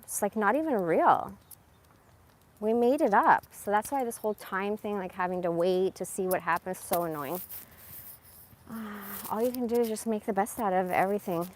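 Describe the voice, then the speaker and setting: Woman in her 40s just rambling on a livestream, mid pitch, slangy and blunt, really emphatic. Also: slight background noise. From born in Iran, moved to America as a young child. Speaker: live streamer. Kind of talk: casual, rambling personal monologue.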